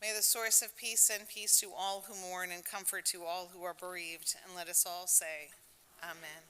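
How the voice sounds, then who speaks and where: A woman leading a prayer service, speaking and chanting prayers in a steady, measured cadence in a synagogue sanctuary. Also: lowish voice, a little hiss or hum. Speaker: service leader. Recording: echoey sanctuary hall.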